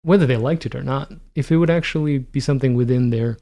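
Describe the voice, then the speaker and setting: Male podcast co-host, forties, gravelly, rambling thinker. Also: medium pitch, fast talker, warm tone. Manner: rambling, thinks aloud with many fillers. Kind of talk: casual film-discussion chat.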